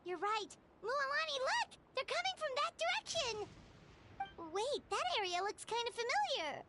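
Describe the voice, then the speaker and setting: Squeaky, very high-pitched childlike fairy. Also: female voice, sing-song, normal speed, a bit bright, slight background noise, full character voice. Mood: excited, alarmed. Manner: exclamatory, eager. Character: small excitable childlike female fairy companion. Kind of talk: video game voice acting.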